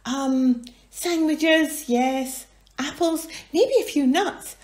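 A woman voicing a small, excitable haggis creature who splutters when flustered. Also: sits high, very slow, reverberant, pitched above the performer's own voice. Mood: musing.

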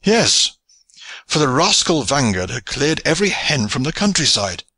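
A man's voice: mid-range voice, very animated, normal speed, sharp tone, husky, no room sound, voice pushed up, heavily acted. A man voicing a sturdy, jovial old knight with a boisterous, hearty way of talking.

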